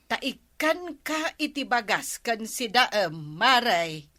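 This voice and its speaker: Bright, chatty village housewife played by a woman. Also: a little high, very animated, slow, exaggerated voice. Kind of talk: comedy radio drama acting in Ilocano.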